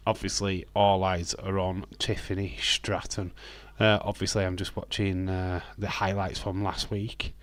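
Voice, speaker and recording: A man with a slightly croaky voice hosting a live stream, chatting in a rambling, friendly way with lots of hesitant fillers. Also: sits low, flat delivery, unhurried, few pauses, no echo. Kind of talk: casual live watch-along chat, reading viewer comments.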